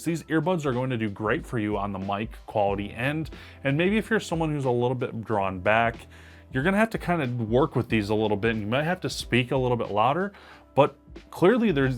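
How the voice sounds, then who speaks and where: A man with a powerful voice giving an upbeat, emphatic product review to camera. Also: mid-range voice. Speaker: tech reviewer.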